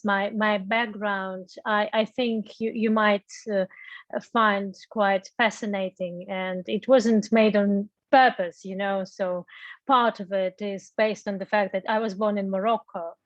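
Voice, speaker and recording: A middle-aged woman answering as a guest in an online interview, speaking conversationally with halting, searching phrasing and repeated words. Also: mid-range voice, steady tone, dry recording. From grew up in embassies, mostly in the Middle East. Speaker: guest, venture capital partner. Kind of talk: conversational interview answers, personal career story. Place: online video meetup. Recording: dull, lo-fi online call audio.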